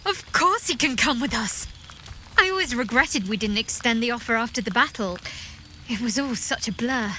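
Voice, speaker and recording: Affectionate young adventurer lady in a game, playful n wry, bright crisp tone, slightly high. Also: animated, moderate pace, a little hiss or hum. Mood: delighted. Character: affectionate, teasing young woman adventurer with a cottage. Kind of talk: video game character acting.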